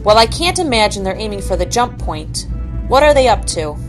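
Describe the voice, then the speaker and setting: An actress playing a sardonic, authoritative space-fleet admiral in a sci-fi audio drama, dry and brisk. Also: female voice, mid-range voice, very animated, faint music behind, lightly acted. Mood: calm, commanding.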